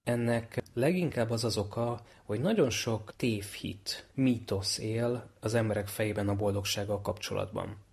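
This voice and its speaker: A man giving an expert explanation in a measured, articulate, teacherly way. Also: fairly low pitch, creaky, darkish tone. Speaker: clinical psychologist and lecturer, expert guest.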